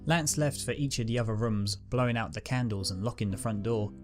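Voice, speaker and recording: A man narrating a fan-written pony story in a calm, steady reading voice. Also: on the low side, a little fast. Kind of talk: fanfic audiobook reading. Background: guitar music.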